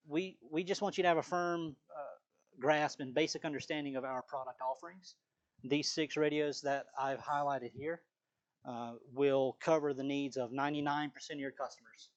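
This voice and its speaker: Man giving a casual sales training. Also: fairly high pitch. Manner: casual, conversational, with frequent fillers and restarts. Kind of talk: live virtual sales training.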